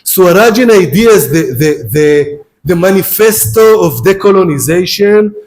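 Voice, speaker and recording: A man's voice: high-pitched, animated, unhurried, a little mellow, faint music behind. A man with an Israeli accent, a native Hebrew speaker, giving a university philosophy lecture and speaking straight to his audience, often repeating and restarting his words. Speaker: guest lecturer. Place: lecture hall.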